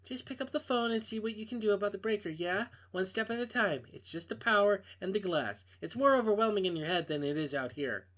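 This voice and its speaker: A caring, protective woman from a visual novel, voiced by a man, talks in a raised pitch. She is steady, casual and straightforward, the reassuring friend. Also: a little fast, hardly any pauses. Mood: calming.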